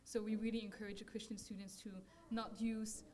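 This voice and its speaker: A young woman from France gives a slide presentation about her campus ministry. She speaks softly, pausing now and then to find the right word. A faint rumble sits under her voice. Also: little pitch movement.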